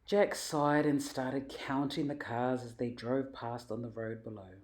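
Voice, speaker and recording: A woman reading a children's story aloud in an animated, emphatic, expressive way. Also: a bass voice, unhurried. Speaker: storybook reader.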